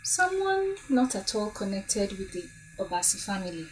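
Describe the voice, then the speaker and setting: A woman playing a shrewd, assured woman who deliberately lays out a case in a story drama. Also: medium pitch, big pitch swings, distant mic, slight background noise, natural voice, poor audio. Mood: assured, explanatory. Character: shrewd woman calmly exposing a plot.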